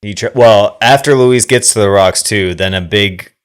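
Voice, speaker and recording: A man with a low voice chats about movies as a podcast co-host. His delivery is thoughtful and halting as he feels his way through his reflections. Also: clean tone. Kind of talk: casual film discussion.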